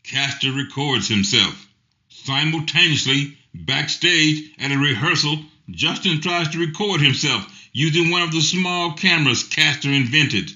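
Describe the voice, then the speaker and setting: Very bright-toned male narrator. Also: on the high side, unhurried. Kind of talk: scripted narration. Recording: dull, lo-fi sound.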